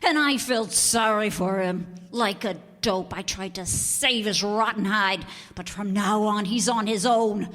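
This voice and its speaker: A woman's voice: medium pitch, measured pace, few pauses, fairly bright tone, natural voice. Lady acting as brassy slangy grifter dame, old radio mystery, biting and defiant, takes her time, echoey hall. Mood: bitter contempt. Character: brassy, scornful female con artist and palm reader.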